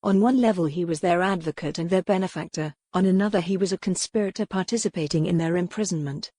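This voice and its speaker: A woman's voice: lowish voice, fast, mellow, no room sound, studio quality. A woman reading scripted narration as the narrator of a romance audiobook.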